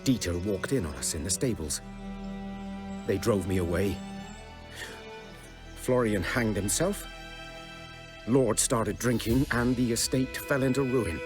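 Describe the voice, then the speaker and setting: An actor playing a weary outcast village hunter in a video game, rustic, plainspoken and guarded. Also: male voice, fairly low pitch, measured pace, long pauses, over music, lightly acted. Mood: sombre, guarded.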